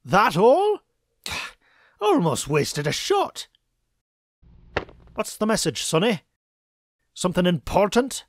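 A man's voice: sits high, wildly swooping pitch, takes it slowly, long pauses, heavily acted. A man voicing a cranky, miserly old male duck in a cartoon comic dub, scolding in fussy, old-timey exclamations. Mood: grumbling, irritated.

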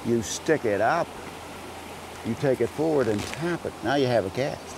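A man's voice: mid-range voice, lively intonation, normal speed, creaky, noisy background. An older man, a fly fishing guide, explaining casting while wading in a stream, in a folksy way that repeats words. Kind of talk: outdoor fishing instruction. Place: wading in a wooded trout stream outdoors. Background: faint stream and outdoor ambience.

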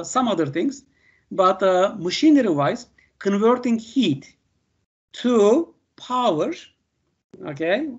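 Older Turkish professor, non-native English, lecturing over a video call, halting delivery full of fillers, dull lo-fi sound. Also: male voice, high-pitched. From Turkey. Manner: halting, many "uh" fillers and "okay" checks. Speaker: university professor. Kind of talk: online lecture.

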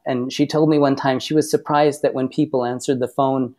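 A talkative man from Massachusetts, interviewed as a podcast guest. He tells long stories in an easygoing way, his voice sitting at a mid pitch. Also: little pitch movement, a little fast, darkish tone. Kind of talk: casual interview chat.

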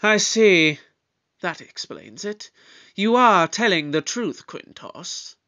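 A man playing a shrewd, authoritative detective in an audio drama, with a pompous, deliberate, probing delivery. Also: high voice, animated, bright, forceful tone, exaggerated voice. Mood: suspicious, brisk. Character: shrewd, authoritative male time-travelling detective, pompous and suspicious.

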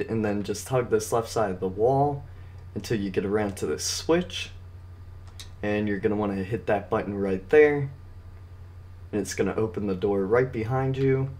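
A man's voice: on the low side, darkish tone. A man with a slightly scratchy voice hosting a casual, step-by-step video game walkthrough. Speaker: gaming video guide host.

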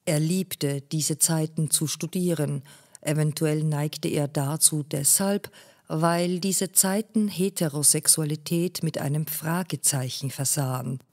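A woman narrates a literary text in a low-pitched voice. She reads slowly and with measure, giving each phrase its own space. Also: fairly even intonation, a little mellow. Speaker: audiobook narrator.

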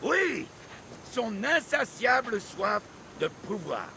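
An actor playing an excitable old Greek sailor and storyteller in a theatrical, expansive video game style. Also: male voice, very high voice, takes it slowly, creaky, slight background noise, exaggerated voice. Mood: dramatic reading. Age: older. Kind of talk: dramatic video game acting.

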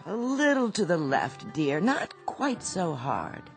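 An actress in a radio drama playing a genteel, demanding wife with a languid manner. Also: female voice, on the low side, wildly swooping pitch, slow pace, faint music behind, full character voice. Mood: languid, content.

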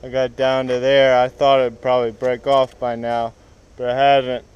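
A young man explaining his tree cutting as he goes, in a halting casual way with many fillers and restarts, recorded outdoors in a wooded yard on an action camera. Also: medium pitch, completely flat delivery, darkish tone, smooth, lo-fi sound. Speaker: vlogger. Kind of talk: casual explanation while working. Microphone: action camera on the ground.

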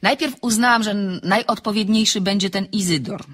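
A woman's voice: mid-range voice, a little fast, a bit bright, breathy edge. An actress playing an impulsive, inquisitive, chatty woman in an audio drama, with a theatrical manner. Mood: playful, musing. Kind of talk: audiobook radio drama acting.

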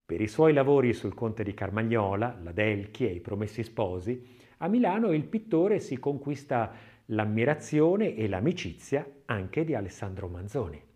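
A man reading a scripted literary audiobook essay as narrator, in a measured, expressive way. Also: fairly low pitch.

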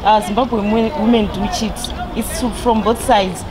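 A woman's voice: mid-range voice, fairly even intonation, normal speed, crowd noise, poor audio. A young woman who runs a beauty spa, answering a street interviewer frankly and laughing easily. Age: young adult. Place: outdoors on a roadside. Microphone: interviewer's handheld mic. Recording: clear street noise.

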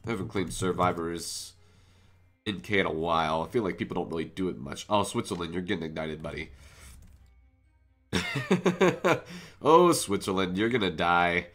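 A man's voice: low-pitched, moderate pace, long pauses, a bit bright. Congested man narrating gameplay. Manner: chatty, thinks aloud while playing. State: congested from a cold. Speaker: gaming channel host. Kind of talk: casual gameplay commentary. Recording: faint music bed underneath.

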